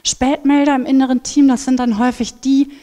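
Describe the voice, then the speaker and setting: A woman in her thirties on a headset mic, giving a lively conference talk and acting out inner voices. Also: high-pitched, fairly quick. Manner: animated, acts out inner voices. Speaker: speaker at a conference. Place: large brick hall with seated audience. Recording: reverberant hall.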